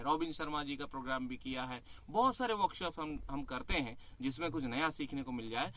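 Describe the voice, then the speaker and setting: A man's voice: a little high, a bit bright. A middle-aged man from Jharkhand giving an earnest spoken testimonial, mixing English phrases into his talk. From Jharkhand, India. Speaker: chemistry teacher, course participant. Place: small studio room, indoors. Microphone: studio condenser mic on a boom arm.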